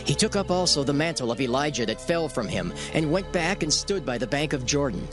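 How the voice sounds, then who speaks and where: Expressive male scripture narrator. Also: a little high, brisk, over music. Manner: formal storytelling of archaic text.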